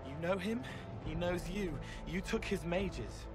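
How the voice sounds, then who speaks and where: An actor playing a strange, earnest young man in a video game, gentle and haunted, speaking in simple, halting phrases. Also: high-pitched, expressive, loud music behind the voice. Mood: ominous warning. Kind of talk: dramatic video game acting.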